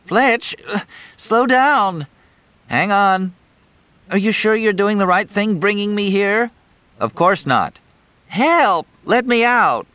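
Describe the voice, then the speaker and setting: A man putting on a squealing young woman stuck in the back, complaining loudly, bright tone. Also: lively intonation, slow pace, pauses often, smooth, no echo, dull audio, full character voice. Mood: panicky. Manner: shrill, complaining, panicky. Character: frightened bedridden young woman passenger.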